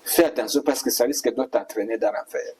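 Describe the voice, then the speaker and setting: A man's voice: fairly high pitch, very animated, a little fast, gravelly, a little mellow, breathy edge, recorded from a distance. A male preacher, delivering an admonishing, repetitive exhortation as a sermon. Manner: admonishing, repetitive rhetorical questions.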